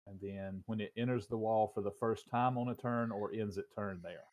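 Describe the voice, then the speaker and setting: A man with a fairly low voice plays a tabletop roleplaying game. He explains his moves and the rules casually and a little haltingly. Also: steady tone, darkish tone.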